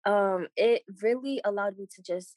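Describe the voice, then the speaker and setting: A woman's voice: medium pitch, normal speed, a little mellow, no echo, clean studio sound. A young woman from Boston, born in Haiti, giving an earnest, conversational personal account as an interview guest. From born in Haiti, grew up in Boston. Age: late teens, young adult. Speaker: scholarship recipient, podcast guest.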